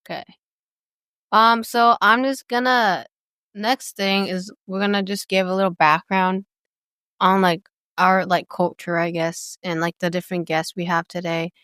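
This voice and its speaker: A woman's voice: on the low side, level tone, measured pace, a bit bright, clear, resonant tone, no room sound, studio quality. A woman from the United States hosting a casual chat, rambling and giggly, with lots of "like". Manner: rambling, giggly, heavy use of "like". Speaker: podcast host.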